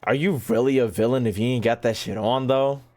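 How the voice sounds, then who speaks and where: A man's voice: medium pitch, a little fast, a little mellow, soft background music. A man doing casual gaming commentary, reading dialogue aloud and joking in a slangy way. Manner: slangy, jokey. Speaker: gaming YouTuber.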